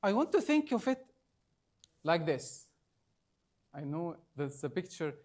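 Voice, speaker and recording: Slow high-voiced trainer. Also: male voice, very animated, a little mellow. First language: Arabic. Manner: deliberate, coaxing, quizzes the audience often. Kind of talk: live training workshop.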